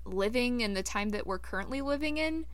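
A woman with a slightly high voice, recorded dry and close. She chats casually and keeps an easygoing, matter-of-fact manner. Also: animated, fairly quick, no echo. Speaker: podcast host discussing film. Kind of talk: casual chat.

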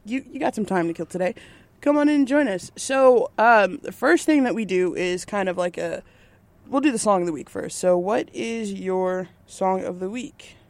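A woman's voice: medium pitch, normal speed, dry recording. A young woman radio DJ hosting a podcast in casual chat, bubbly and talkative.

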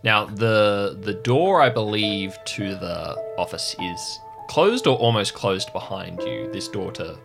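Deep, creaky-voiced male game master. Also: lively intonation, music in the background. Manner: descriptive, measured storytelling. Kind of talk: narrating a tabletop roleplaying game.

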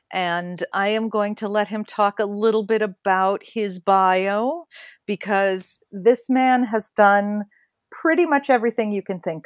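A woman's voice: mid-range voice, no echo, clean studio sound. A middle-aged woman co-hosting a casual podcast, talking with enthusiasm as she introduces her guest. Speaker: co-host.

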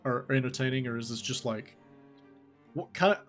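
Man, podcast host, relaxed, rambling questions, mid-pitched voice, light music behind. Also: moderate pace. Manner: relaxed, rambling questions with many likes and restarts. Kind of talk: casual podcast interview.

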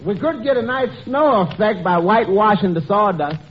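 An actor playing a dry, sardonic waiter in a 1940s radio comedy, drawling out deadpan comebacks. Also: male voice, high voice, normal speed. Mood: sly, joking. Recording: dull, noisy old radio broadcast.

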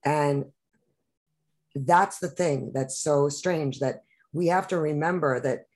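A woman's voice: low voice, slow pace, darkish tone. A woman from New York, a podcast guest, talking in an impassioned, rambling way with many restarts. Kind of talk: emotional political conversation.

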